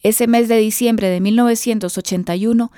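A woman presenting a scripted radio news item with a steady, clear delivery. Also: on the high side, brisk, no room sound. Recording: dry close studio sound.

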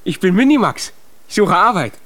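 An actor voicing a small, childlike, proud and eager giant in a children's radio play. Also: male voice, high voice, sing-song, normal speed, fairly bright tone. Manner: eager, boastful, naive.

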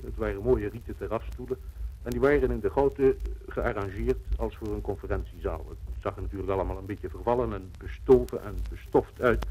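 A male correspondent with a husky voice giving a measured, emphatic eyewitness recollection for 1950s radio. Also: fairly low pitch, darkish tone, slight background noise, poor audio.

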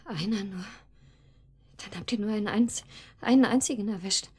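A woman playing a betrayed, pleading yet defiant lover in a radio drama, with breathy, emotional, fragmented delivery. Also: medium pitch, normal speed, darkish tone. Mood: shaken. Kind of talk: German radio drama acting.

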